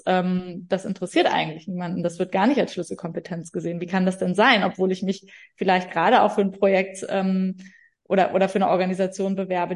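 A woman who moderates a trade fair, recounting a story in a measured, explanatory podcast interview. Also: on the low side. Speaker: event moderator.

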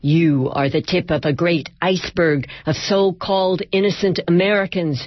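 A woman's voice: lowish voice, steady tone, moderate pace, no room sound, lo-fi sound, pitched above the performer's own voice, close to an everyday voice. A woman voicing a stern, pompous male psychoanalyst who scolds and dismisses in a clipped manner. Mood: scolding, commanding.

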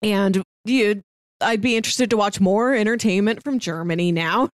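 A woman's voice: medium pitch, moderate pace, no room sound. A woman co-hosting a casual review show, talking in an informal, conversational way. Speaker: podcast host.

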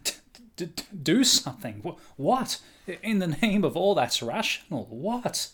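A man playing a flustered but rational doctor in a radio horror drama, stammering and halting as he urges. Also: sits high, sing-song, slightly rough, exaggerated voice. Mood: stunned, horrified. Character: flustered male doctor, family friend, rational but rattled. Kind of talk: old-time radio horror acting.

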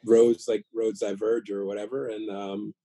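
American man, late thirties, low-pitched, husky, reflective, halting, casual heartfelt chat. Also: level tone, mellow, dry recording. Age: late 30s. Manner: reflective, halting, repeats phrases as he thinks. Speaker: podcast guest, new father.

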